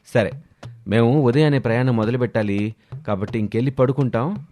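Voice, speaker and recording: A man's voice: medium pitch, fairly quick, warm tone, lightly acted. A man voicing a scheming guest in a cartoon moral story, polite and ingratiating as he feigns kinship.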